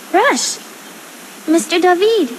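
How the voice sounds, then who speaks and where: A woman's voice: very high-pitched, noisy background, heavily acted. A woman playing an earnest, anxious teenage girl in a video game, speaking in a pleading, emotional way. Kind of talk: dramatic video game voice acting.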